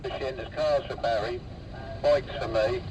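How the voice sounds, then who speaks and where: A man's voice: medium pitch, steady tone, slow. A man chatting casually over a CB radio, heard through its speaker. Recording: distant, noisy CB radio picked up by phone.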